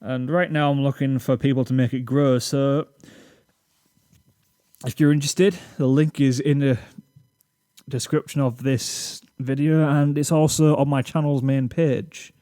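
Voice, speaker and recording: A man's voice: medium pitch, fairly even intonation, unhurried, dark tone, no room sound. Awkward, rambling British man, dry sound. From Britain. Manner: rambling, self-deprecating, awkward. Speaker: YouTube channel host. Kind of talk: scripted channel reflection. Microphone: desk microphone.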